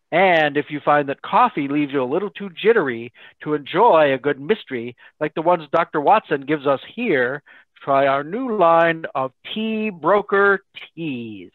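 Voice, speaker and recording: A man's voice: on the high side, no room sound. A man playing a hearty, polished announcer in the style of 1930s radio. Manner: hearty, polished salesman delivery. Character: hearty radio announcer pitching coffee and mysteries. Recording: thin phone-like band.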